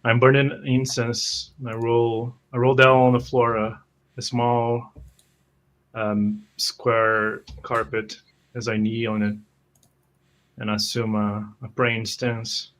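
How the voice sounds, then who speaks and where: A man's voice: mid-range voice, little pitch movement, takes it slowly, a bit bright. A man narrating his own character's actions in a tabletop roleplaying game. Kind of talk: improvised first-person roleplay narration.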